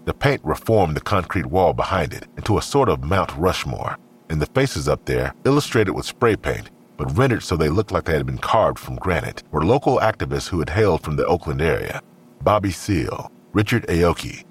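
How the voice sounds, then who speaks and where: A man narrating an audio drama in a measured, scripted storytelling style. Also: a bass voice, very animated, slightly rough.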